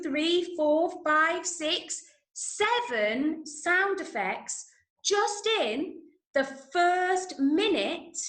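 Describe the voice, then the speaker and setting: High-pitched English woman teaching, unhurried. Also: a bit bright, reverberant. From Manchester, England. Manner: clear, instructive, emphatic, checking understanding often. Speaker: drama workshop teacher. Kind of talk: online lesson to school pupils. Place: indoors, online video call.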